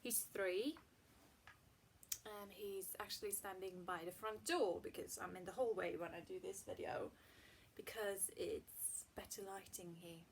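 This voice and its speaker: A Swedish woman in her twenties, a native Swedish speaker, chatting casually to camera in her home hallway, with soft, half-whispered asides. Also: medium pitch, steady tone, measured pace, slight background noise. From Sweden. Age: 20s. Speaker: vlogger. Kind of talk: casual vlog talk to camera.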